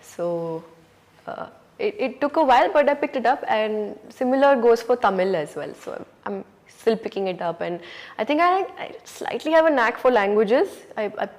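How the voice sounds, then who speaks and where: A woman's voice: a little high, lively intonation, normal speed. A woman from Hyderabad with an Indian English accent, an actress answering interview questions candidly and reflectively, often saying "you know" and "um". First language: Urdu. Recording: hall reverb.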